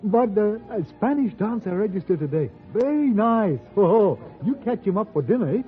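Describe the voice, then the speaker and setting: An actor playing an eager, cheeky hotel porter who speaks singsong broken English with a foreign accent in an old radio drama. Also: male voice, sits high, very animated, moderate pace. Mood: sly, excited. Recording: narrowband vintage radio recording with music.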